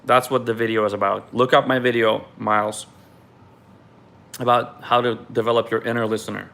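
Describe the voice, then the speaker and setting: A man's voice: medium pitch, level tone, moderate pace, gravelly, sharp tone. A man from Ukraine, raised in Israel, reads viewer questions aloud on a live stream and answers them in a loose, candid way.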